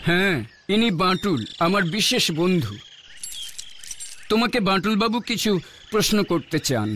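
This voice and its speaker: A man's voice: high-pitched, very animated, normal speed, bright, forceful tone, slight background noise, exaggerated voice. A man voicing an anxious, courteous king and father in a children's cartoon, speaking formally over music. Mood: earnest. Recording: music playing behind the voice.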